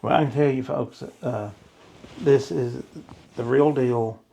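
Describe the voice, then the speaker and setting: A man's voice: mid-range voice, steady tone, slow, gravelly, dark tone. A man hosting an interview, speaking in a folksy, plainspoken way as he wraps up the conversation. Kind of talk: casual interview wrap-up.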